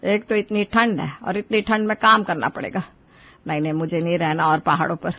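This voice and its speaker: A woman's voice: fairly low pitch, steady tone, brisk, lo-fi sound. A woman voicing a cranky, complaining older mother-in-law in a moral-story cartoon, scolding and grumbling with exclamations.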